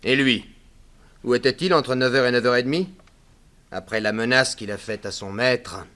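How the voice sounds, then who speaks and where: A man performs a witness in a 1960s French radio play. His voice sits at an ordinary male pitch. He explains things in a measured, forgiving-sounding way with a sly edge. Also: fairly even intonation, sharp tone, clear, resonant tone, lightly acted. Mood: insinuating.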